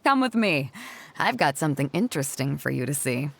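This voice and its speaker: A bold, lively sea captain. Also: female voice, sits low, a little fast, creaky, background noise, heavily acted. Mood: warm, candid. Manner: assured, commanding, colloquial. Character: confident, easygoing woman fleet captain, bold and hearty. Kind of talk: video game voice acting.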